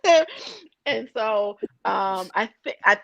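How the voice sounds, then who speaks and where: A woman guest with a soft voice, talking in a hesitant conversational way with many fillers and restarts. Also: medium pitch, animated, takes it slowly, vocal fry, dry recording, low-quality recording. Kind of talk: conversational interview.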